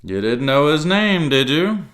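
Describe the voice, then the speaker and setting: Brief: man voicing a loud, cunning one-legged pirate cook, high-pitched bluster, salty talk. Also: big pitch swings, unhurried.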